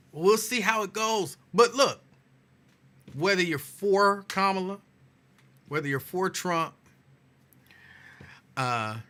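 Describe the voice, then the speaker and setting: A man's voice: high-pitched, bright, forceful tone. A male talk show host losing his voice, chatting with listeners and repeating phrases. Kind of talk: casual talk show chat.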